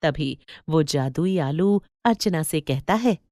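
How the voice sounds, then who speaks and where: A woman's voice: low voice, animated, takes it slowly, warm tone, dry recording, studio quality. A woman narrating a scripted cartoon fairy tale as a storyteller.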